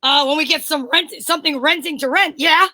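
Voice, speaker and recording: Flippant female rental clerk played by a woman, very high voice, offhand, stumbling delivery, amateur radio comedy. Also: brisk, pushed and bright. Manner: offhand, stumbling over the reading. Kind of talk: amateur old-time radio play.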